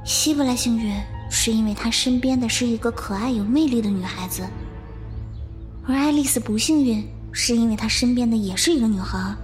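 Actress as sweet, clingy young girl. High, cute, plaintive delivery, audio drama, clear music bed. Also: brisk, close to an everyday voice. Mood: pouty, wistful. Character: sweet, needy young girl devoted to her female companion. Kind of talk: Chinese audio drama acting.

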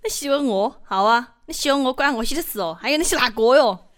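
A woman's voice: sits high, expressive, quick pace, a bit bright. A woman acting a guarded, naive young female student in a Chinese audio drama, speaking with a regional dialect accent. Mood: dismissive. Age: young adult.

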